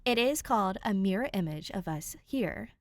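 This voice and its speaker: A woman's voice: mid-range voice, animated, normal speed, dry recording, clean studio sound. A woman hosting a channel, talking casually and informally to listeners with emphatic stresses. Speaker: podfic reader and channel host.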